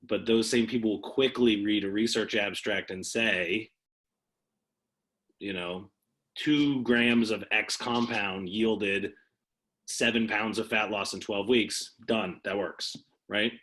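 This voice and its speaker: A man's voice: fairly low pitch, fairly even intonation, slow pace, fairly bright tone. A man taking part in a coaches' call, making his points in casual, explanatory discussion. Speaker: participant in a coaches' conference call.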